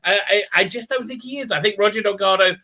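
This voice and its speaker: A man on a casual podcast panel, talking haltingly and repeating words for emphasis. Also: high voice, pushed and bright.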